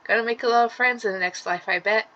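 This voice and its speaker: A woman's voice: on the high side, fast talker, bright, forceful tone, dull audio. Friendly down-to-earth farm neighbour, folksy and plain, read by a woman.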